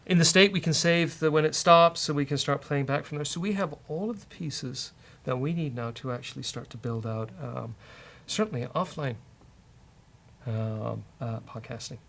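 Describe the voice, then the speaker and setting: Creaky-voiced man thinking aloud while coding. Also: fairly high pitch, normal speed, fairly bright tone. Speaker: host, software developer. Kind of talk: casual live-coding talk, thinking aloud. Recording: faint noise, keyboard typing.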